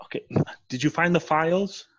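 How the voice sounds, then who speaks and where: A man's voice: a little high, a little fast, a bit bright. A man acting an anxious investigator, urgent and insistent, in an amateur table read over a video call. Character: urgent, anxious investigator pressing a dim ally. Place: home, remote video call. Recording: dull, lo-fi video call audio.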